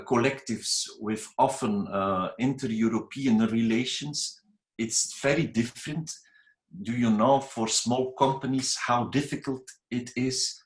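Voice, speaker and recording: Earnest man in an echoey hall. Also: medium pitch. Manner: earnest and critical, occasional restarts. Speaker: conference speaker asking a question. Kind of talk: conference question and comment. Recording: echoey conference room.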